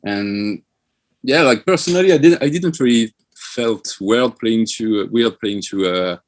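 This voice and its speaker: A man's voice: mid-range voice, unhurried. A man from France with a French accent, a band member talking casually as an interview guest.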